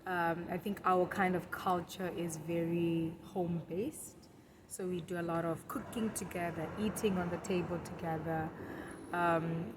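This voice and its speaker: A young woman tells stories in a relaxed, easy way and laughs often between her sentences. Her voice sits a little low for a woman. Faint ambient noise runs behind her. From Zimbabwe. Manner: warm, laughs easily, relaxed storytelling. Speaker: guest. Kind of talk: conversational interview.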